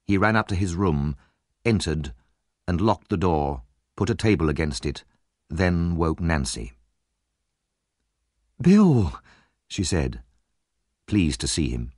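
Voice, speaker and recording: A man's voice: low-pitched, no echo, clean studio sound. A man reading an audiobook as narrator, in a dramatic scripted storytelling style.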